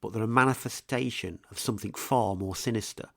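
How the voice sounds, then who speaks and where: A man presenting a scripted mystery documentary in a measured, ominous, suspenseful way, with deliberate pauses. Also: on the low side, vocal fry, fairly bright tone, no room sound, studio quality. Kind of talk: scripted dramatic documentary narration. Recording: music behind the voice. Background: music.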